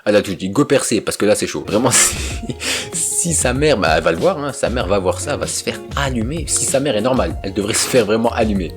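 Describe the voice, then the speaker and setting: A man's voice: on the low side, expressive, fast talker, music in the background. A young man hosting a video, reacting to clips in casual, slangy commentary and laughing often.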